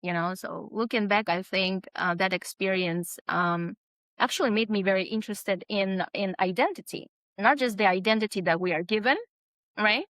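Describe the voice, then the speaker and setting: A woman speaking as an interview guest, reflective and conversational, pausing often as she thinks aloud. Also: medium pitch, a bit bright, dry recording. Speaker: podcast guest, author.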